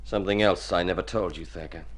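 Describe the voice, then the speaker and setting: An actor playing a young Texan outlaw in a radio drama, with a terse, menacing, resolute manner. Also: male voice, sits low, fairly even intonation, fast, close to an everyday voice. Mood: calm, sure. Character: gruff young Texan outlaw posing as a long-lost son.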